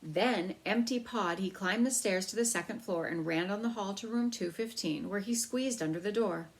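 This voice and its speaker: A woman's voice: mid-range voice, moderate pace, low-quality recording. A woman storyteller reading a children's novel aloud.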